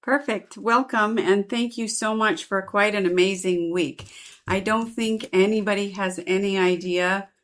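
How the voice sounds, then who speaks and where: A woman's voice: medium pitch, fairly even intonation, measured pace. A woman hosting an indoor gallery conversation. She speaks fluently and at length, linking long sentences together without strain. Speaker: host, volunteer organizer. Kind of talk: interview conversation.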